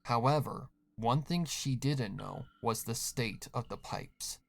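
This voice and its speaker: A man's voice: mid-range voice, slow pace, gravelly. A man reading scripted third-person horror fiction as the narrator.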